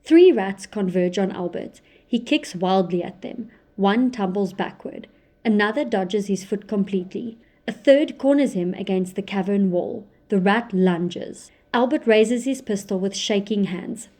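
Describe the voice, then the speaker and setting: A woman narrating an audio drama in a measured, descriptive way. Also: medium pitch, warm tone.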